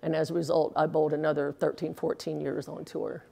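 A woman's voice: low-pitched, flat delivery, fairly quick, gravelly, dark tone, a little hiss or hum. A woman in her sixties, a former pro bowler, chatting earnestly in an interview, with filler words, recorded indoors on a lapel mic. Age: 60s. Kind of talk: casual interview answers. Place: indoors in a bowling alley.

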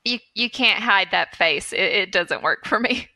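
Bright-voiced woman, wry podcast banter. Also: mid-range voice, measured pace, no echo. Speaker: podcast co-host. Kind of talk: casual chat.

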